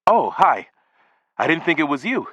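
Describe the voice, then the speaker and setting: Guy doing a keen little boy, high voice, dry close sound. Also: very animated, normal speed, bright, forceful tone, no echo, exaggerated voice. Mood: eager. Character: boy narrator, young and eager.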